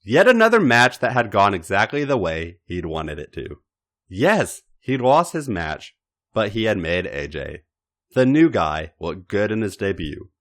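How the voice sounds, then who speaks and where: Deep-voiced man narrating with sweeping expression. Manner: expressive, theatrical emphasis with pauses. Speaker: podcast host reading fan fiction. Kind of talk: dramatic scripted story narration.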